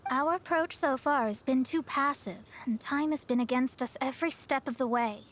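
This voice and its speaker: A woman's voice: high-pitched, normal speed. A woman voicing a proud, self-assured young female astrologist in a video game, articulate and a little haughty. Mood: resolute. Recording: faint music behind the voice.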